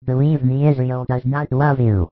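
Male reader as a grave, loving spiritual teacher for a storybook; emphatic pleading, mid pitch, narrow-band sound. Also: fairly even intonation, moderate pace, no room sound, lightly acted. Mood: earnest pleading. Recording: thin phone-like band, dry.

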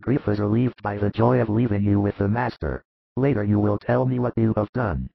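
A man's voice: fairly low pitch, fairly quick, vocal fry, no echo, voice pushed down. A man voicing an old, selfless disciple, kindly and earnest, in a storybook reading. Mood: kindly offering. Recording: muffled, narrow-band sound.

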